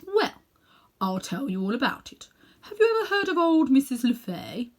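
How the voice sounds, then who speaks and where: Woman acting middle-aged gentleman magician, pompous, condescending, sinister, self-important, drawn-out phrasing, storybook villain. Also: sits high, very animated, pitched above the performer's own voice, heavily acted. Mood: smug, gleeful. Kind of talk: children's storybook character reading.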